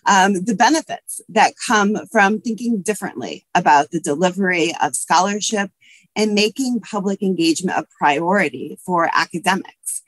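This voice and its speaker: A woman's voice: mid-range voice, moderate pace, dry recording. Scratchy-voiced woman on a video call. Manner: earnest, with frequent um and uh fillers. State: scratchy voice from allergies. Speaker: history professor and panelist. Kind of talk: prepared remarks on a video call. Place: office with bookshelves. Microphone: webcam video call.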